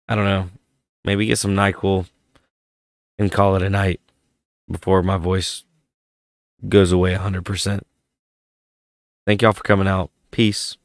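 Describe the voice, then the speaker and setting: A man's voice: low voice, creaky, dry recording, clean studio sound. A man hosting a casual hardware vlog, rambling and thinking aloud, his voice worn from a head cold. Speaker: tech channel host.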